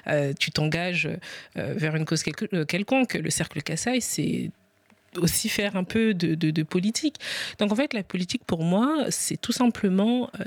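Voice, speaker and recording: A man's voice: fairly high pitch, vocal fry, no room sound. A man answering interview questions as a podcast guest, reflective and formal, hesitating and restarting as he searches for careful wording. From family origin Republic of the Congo. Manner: hesitant, formal, searching for words with repeated starts. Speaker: podcast guest, parliamentary adviser.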